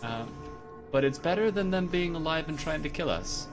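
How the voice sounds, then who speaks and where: A man talking his way through a horror game in a lively, bouncing voice, joking at his own expense and chatting to his character. Clear game music plays behind him. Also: a little high, normal speed. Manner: chatty, self-mocking, talks to the game character. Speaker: let's play gamer. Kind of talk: casual game commentary.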